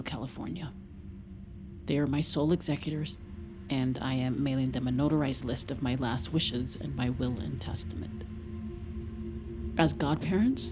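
A woman's voice: rumbling low, noisy background. An actress in an audio drama playing a determined, desperate mother with an earnest, intense manner. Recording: prominent music bed behind dialogue.

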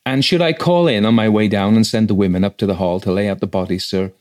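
A man's voice: lowish voice, fast, a little mellow, close to an everyday voice. Village clerk, done by a male reader: rustic, respectful, shockable working man for a storybook ghost tale. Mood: resigned. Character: shocked, deferential old-fashioned village clerk.

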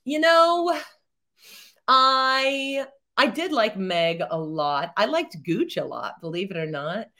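A middle-aged woman, a theatre performer, chatting as a podcast guest in a rambling, animated way with many fillers and laughs. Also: a little high, takes it slowly. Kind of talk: casual interview chat.

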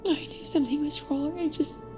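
An actress playing a tender, wounded former lover in an audio drama, speaking softly and pleadingly. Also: female voice, high-pitched, steady tone, lightly acted. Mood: hurt. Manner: soft, slow, pleading. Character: tender, wounded woman claiming to be an old lover. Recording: music behind the voice.

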